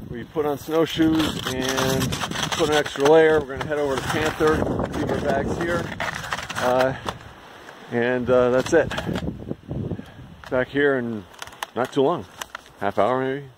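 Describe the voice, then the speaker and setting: A man's voice: medium pitch, steady tone, takes it slowly, long pauses, very noisy. Older man narrating a snowy hike. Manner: terse, plain-spoken updates between breaths. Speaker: hiker narrating his climb. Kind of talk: casual trail narration. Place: snowy forest trail outdoors in winter. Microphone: handheld camera at arm's length. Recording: loud crunching and rustling behind.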